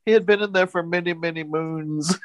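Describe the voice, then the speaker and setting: A woman's voice: fairly low pitch, fairly even intonation, moderate pace, no room sound. A woman co-hosting a podcast, chatting casually and retelling odd news stories in a folksy, joking way. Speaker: podcast co-host.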